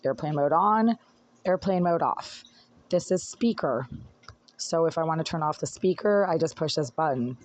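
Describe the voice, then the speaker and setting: Hoarse, aggrieved tenant; rambling self-recorded rant into a handheld phone, mid-range pitch. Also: expressive, moderate pace, slight background noise. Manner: aggrieved, rambling, talks while fiddling with phone. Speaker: tenant filing complaints. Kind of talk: agitated self-recorded complaint monologue. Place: apartment indoors. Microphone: phone held by speaker.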